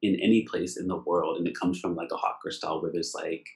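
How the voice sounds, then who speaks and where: Canadian guy hosting a relaxed chat, real low creaky voice, enthusiastic and rambly. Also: brisk. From Nova Scotia, Canada. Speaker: interview host. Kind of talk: casual conversational interview.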